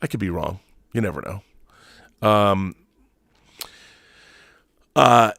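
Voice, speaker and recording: A man in his fifties with a mid-pitched voice hosts a casual livestream. He talks in an opinionated, emphatic manner, doubling back on phrases to drive them home. The sound is dry, with little room around the voice. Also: a bit bright, no echo. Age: 50s. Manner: opinionated, emphatic, profane, repeats himself for emphasis. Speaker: livestream host. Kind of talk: casual livestream commentary, reading viewer messages.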